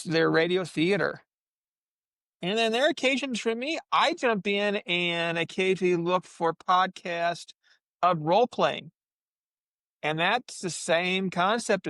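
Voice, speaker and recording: Male podcast panelist, high pitch with heavy fry, rambling casual fan talk, dry sound. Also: fairly bright tone, no echo.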